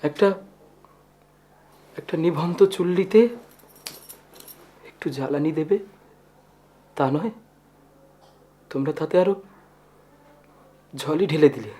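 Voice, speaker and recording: An actor playing a frustrated, idealistic film director in dramatic dialogue, halting and repeating his words with emotion. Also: fairly high pitch, mellow. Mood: bitter, wounded.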